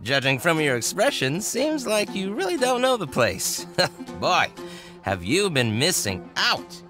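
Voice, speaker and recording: A man's voice: fairly high pitch, huge pitch swings, measured pace. An actor playing a tipsy, friendly young man who rambles through game dialogue with slurred, hesitant delivery. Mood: tipsy, surprised. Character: tipsy friendly male tavern regular, rambling and smitten. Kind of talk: video game character dialogue. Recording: background music under the dialogue.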